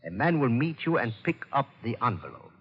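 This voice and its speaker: A dull, reverberant old radio recording carries a husky South politician villain. His rises and falls expressively. He is courteous, formal and quietly menacing. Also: medium pitch, big pitch swings, brisk. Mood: coldly threatening. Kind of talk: 1940s-50s radio drama acting.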